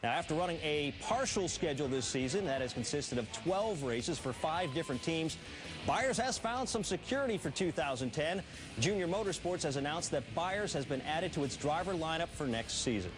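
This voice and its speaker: A man's voice: fairly high pitch, animated, normal speed, music in the background. A man hosting a sports news program, speaking in a polished presenter's delivery as he introduces and interviews guests. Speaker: sports news show host.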